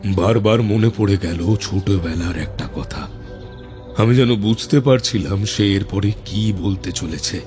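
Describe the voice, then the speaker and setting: A man playing a tantric mystic who recounts eerie encounters in an audio horror drama, measured and suspenseful. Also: deep voice, darkish tone. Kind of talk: first-person horror storytelling in audio drama.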